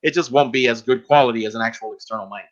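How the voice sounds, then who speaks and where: A man's voice: medium pitch, racing. A man co-hosting a casual podcast, explaining things in a conversational way. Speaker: podcast co-host. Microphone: inexpensive plug-in external mic on a laptop. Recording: dull, lo-fi computer audio.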